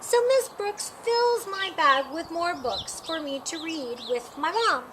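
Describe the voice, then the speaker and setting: High-voiced woman reading a storybook, birdsong behind. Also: animated, takes it slowly. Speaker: storytime reader. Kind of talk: children's picture book read-aloud. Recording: clearly noisy, with birdsong behind. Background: birds chirping.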